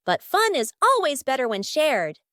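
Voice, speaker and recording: A woman reading a scripted children's story as narrator, with expressive storybook delivery. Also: high-pitched, moderate pace, dry recording, studio quality.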